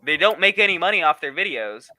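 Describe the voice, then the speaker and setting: A man co-hosting a talk show, explaining things in a casual, halting way. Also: sits high, big pitch swings, pushed and bright, poor audio. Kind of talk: casual podcast chat.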